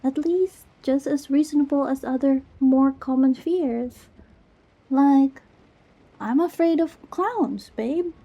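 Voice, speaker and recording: A woman playing a goofy, affectionate young girlfriend in an ASMR roleplay, talking tenderly and playfully to comfort a scared partner in a soft, breathy voice. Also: sits high, slow, highs cut off. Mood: tender, reassuring. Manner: playful, rambling, tender, reassuring. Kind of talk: ASMR girlfriend roleplay, intimate one-sided dialogue.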